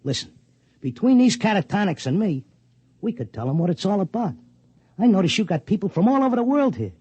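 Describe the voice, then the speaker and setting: A man's voice: sits high, sing-song, a little fast, a little mellow, slightly rough, dry recording, exaggerated voice. An American actor playing a brash, streetwise racetrack tipster in a 1950s radio drama, with blunt, slangy wise-guy talk. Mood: urgent, bargaining. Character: brash, streetwise male racetrack tipster, stubborn and plain-spoken. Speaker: lead character and narrator. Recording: muffled 1950s radio broadcast, music behind.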